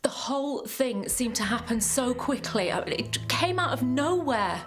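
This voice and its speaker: An actress playing a shaken, regretful engineer who recounts a crash haltingly in a training film drama. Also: female voice, a little high, big pitch swings, faint music behind. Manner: halting, pausing mid-thought. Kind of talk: reflective first-person testimony in a training film drama.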